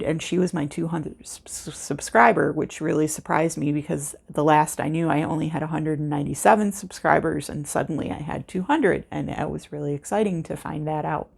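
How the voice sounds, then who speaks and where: An American woman chatting casually in an unscripted vlog, with a calming voice and a rambling, unhurried way of talking. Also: low-pitched, vocal fry, slightly rough. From United States. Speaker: craft vlogger, host. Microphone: external microphone with a cell phone.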